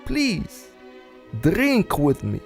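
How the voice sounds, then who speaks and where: Brief: actor doing a smooth mastermind villain, unhurried, theatrical swoops in pitch, soft music under. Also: male voice, huge pitch swings, exaggerated voice. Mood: silky menace. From Russia. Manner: smooth, silky, menacing, slow and deliberate. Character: suave treacherous male scientist villain, exiled aristocrat. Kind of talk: pulp adventure audio drama.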